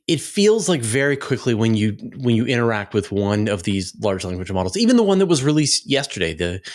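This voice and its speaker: A man hosting an interview in a conversational style, searching for his phrasing as he goes. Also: lowish voice. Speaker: interviewer, channel host.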